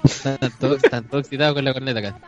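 A man's voice: mid-range voice, fairly even intonation, racing, dull audio, soft background music. A man with a Chilean accent hosting a casual comedy chat, mock-solemn and often repeating his words. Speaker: host of a comedy improv show. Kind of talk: casual joking group chat.

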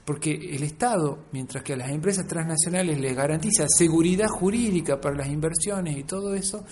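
A man's voice: a little high, a little fast, a little mellow. A man with an Argentine Spanish accent explains things in a radio interview, emphatically and discursively, often checking in with short tag questions. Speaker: interviewed academic researcher and author. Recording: clear background noise.

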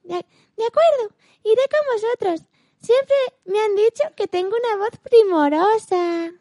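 A forlorn old house cat from a fairy-tale radio play. The voice is extremely high and plaintive, melodramatic in delivery, and recorded very dry. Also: female voice, expressive, a little slow, mellow, no echo. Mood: timid, pleased.

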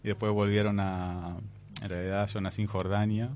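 Soft-spoken young man, low voice. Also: little pitch movement, moderate pace. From Palestine. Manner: hesitant, laughs softly between answers. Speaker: guest. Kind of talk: radio interview answers.

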